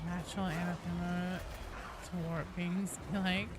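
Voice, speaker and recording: A woman's voice: fairly low pitch, little pitch movement, unhurried, background noise. A woman chatting casually and swearing freely while she live streams a game. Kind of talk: casual live gaming chat.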